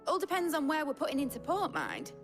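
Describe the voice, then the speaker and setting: A high-voiced, very animated young British shipwright in a video game. She is brash, cheeky and exuberant, with faint music behind her. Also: quick pace, full character voice. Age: young adult. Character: brash, excitable young female shipwright, cheeky and foul-mouthed. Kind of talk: video game cutscene acting.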